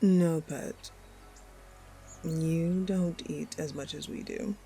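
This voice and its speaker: A woman playing a commanding, protective snake woman, dominant yet fond, speaking with measured authority in a fantasy audio roleplay. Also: deep voice, fairly even intonation, warm tone, a little hiss or hum. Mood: affectionate. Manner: measured, authoritative, fond toward her human.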